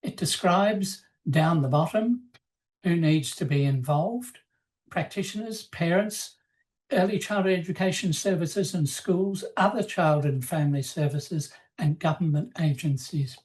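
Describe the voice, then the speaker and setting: A woman presenting in a webinar, explaining slides in a measured, formal, semi-scripted way. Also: fairly low pitch, darkish tone, low-quality recording.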